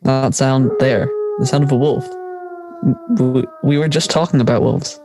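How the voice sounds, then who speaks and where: A woman's voice: a bass voice, measured pace, music in the background. A young woman playing a teasing, laid-back school friend in an audio drama, with casual, brief replies. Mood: puzzled, casual.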